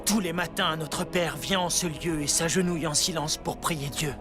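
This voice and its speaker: An actor playing a grave, pious son in a biblical cartoon dub, speaking in a measured way. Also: male voice, fairly high pitch, fairly even intonation, over music, close to an everyday voice. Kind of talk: dubbed biblical cartoon acting.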